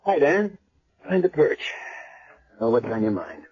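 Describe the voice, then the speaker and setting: An actor playing a brisk, inquisitive, informal hospital doctor in 1970s radio drama style. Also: male voice, medium pitch, huge pitch swings. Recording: dull vintage radio recording.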